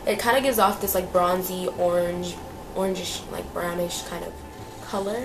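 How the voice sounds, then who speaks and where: A woman's voice: lowish voice, fairly even intonation, moderate pace, background noise. A young woman with a slightly nasal voice from a cold, chatting casually as she reviews beauty products in a small room. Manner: chatty, lots of fillers and repeats. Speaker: beauty vlogger.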